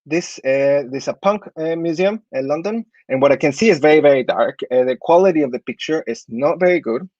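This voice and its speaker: A man's voice: a little high, fairly quick, few pauses, a little mellow, no echo, muffled recording. A man in his thirties from Chile, an architect giving portfolio tips in an online talk, hesitant, with many fillers and restarts. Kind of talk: online talk with slide review.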